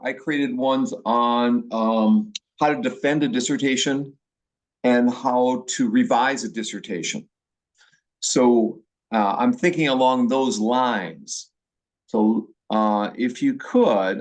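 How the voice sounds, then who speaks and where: Man, Upper Midwest accent, professor, video call, chatty, restarts, middle pitch. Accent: Upper Midwest American. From Milwaukee, Wisconsin. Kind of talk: online class orientation.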